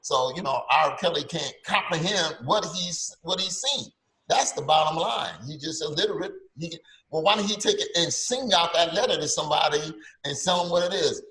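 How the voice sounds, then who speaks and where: An older man co-hosting a casual podcast, sarcastic and animated, often stammering as he restarts his sentences. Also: on the high side, moderate pace, roomy sound, low-quality recording. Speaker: podcast co-host. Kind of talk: casual opinionated chat.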